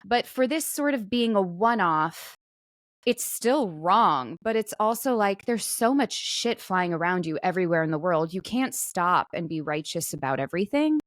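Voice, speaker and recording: A woman's voice: lowish voice, expressive, normal speed, no echo, clean studio sound. A woman co-hosting a podcast in casual comedic chat, frank and wry in a conversational way. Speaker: podcast co-host.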